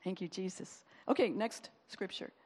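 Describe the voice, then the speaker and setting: A woman pastor giving a sermon, talking in an animated, conversational way and acting out quotes as she goes. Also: mid-range voice, normal speed, in a large hall.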